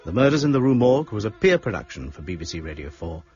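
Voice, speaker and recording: A man reads scripted radio credits in a deep voice. String music plays clearly behind him, and the sound is a little dull. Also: big pitch swings, fast. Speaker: closing announcer. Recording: dull audio under loud music.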